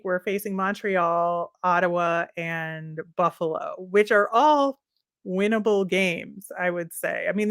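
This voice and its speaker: A woman's voice: mid-range voice, a bit bright, dry recording, clean studio sound. A woman co-hosting a sports podcast, talking casually and conversationally, often hedging with little asides.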